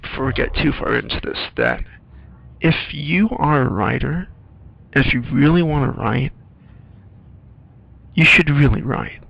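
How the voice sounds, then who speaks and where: A man giving casual, rambling playthrough commentary in an informal, halting, musing way. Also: medium pitch, big pitch swings, creaky, husky, muffled recording. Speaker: screenwriter doing game playthrough commentary.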